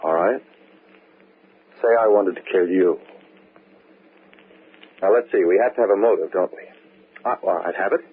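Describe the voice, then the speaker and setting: An actor playing a breezy, needling husband with casual menace, in theatrical 1940s radio mystery style on a tinny old recording. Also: male voice, low-pitched, normal speed, pauses often, breathy edge. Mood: breezy, teasing menace.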